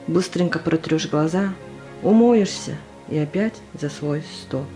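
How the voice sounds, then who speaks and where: A woman's voice: low-pitched, unhurried, mellow, faint music behind. A woman playing a weary but determined wartime surgeon, recalling the war in a confiding monologue for an amateur audio drama.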